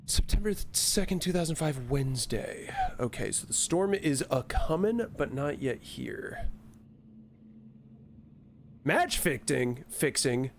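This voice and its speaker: Higher-pitched man streaming over background noise. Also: lively intonation, slow, creaky. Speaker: streamer playing a horror game. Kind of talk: casual game commentary, reading on-screen news aloud.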